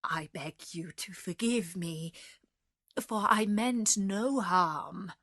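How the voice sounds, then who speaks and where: A terrified merchant father begs for pardon, voiced by a woman reading a storybook. He pleads slowly and humbly. The sound is close and dry. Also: mid-range voice, a bit bright, no echo. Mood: pleading. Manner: pleading, frightened.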